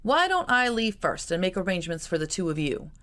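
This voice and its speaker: A woman's voice: on the low side, very animated, brisk, fairly bright tone. A woman playing a wry, teasing army officer. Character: genial male army officer cousin, teasing.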